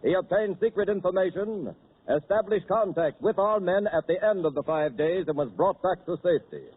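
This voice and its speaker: A man announcing sponsor copy in a smooth, formal 1940s radio style, recorded tinny. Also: high voice, moderate pace. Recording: tinny 1940s radio broadcast, faint hiss.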